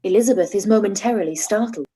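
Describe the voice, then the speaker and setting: A woman narrating stage directions for a live-read radio play, calm and even. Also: medium pitch, fast talker, slightly rough, poor audio.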